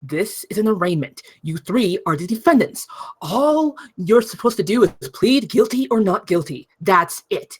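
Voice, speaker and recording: Woman as an exasperated judge, very animated pitch. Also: normal speed, breathy edge. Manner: indignant, scolding. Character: exasperated, stern judge losing all patience. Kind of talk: comedy script table read.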